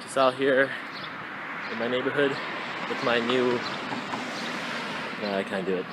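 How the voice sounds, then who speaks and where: A man's voice: medium pitch, a little slow. A man in his twenties rambling casually and slangily to his phone at arm's length outdoors. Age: 20s. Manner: slangy, swearing. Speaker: vlogger. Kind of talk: casual rambling vlog chat. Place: outdoors on residential streets. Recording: noisy handheld phone recording.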